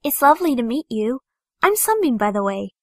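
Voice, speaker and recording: A woman's voice: on the high side, sing-song, fairly quick, no echo, clean studio sound. A woman voicing an earnest, kindhearted young pony mare in an audio drama, with a polite, pleading manner. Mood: polite, curious.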